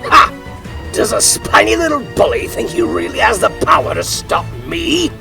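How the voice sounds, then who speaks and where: Bombastic mad-doctor villain, wildly swooping voice. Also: male voice, very high voice, sing-song, moderate pace, creaky, music in the background, heavily acted. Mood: scornful. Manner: gloating, theatrical, shouting. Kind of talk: cartoon audio drama acting.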